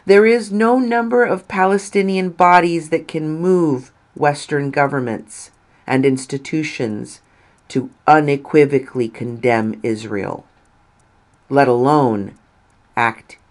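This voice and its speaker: Slow-paced woman reading aloud. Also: low-pitched, a little mellow. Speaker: reader of an article. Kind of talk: scripted article reading.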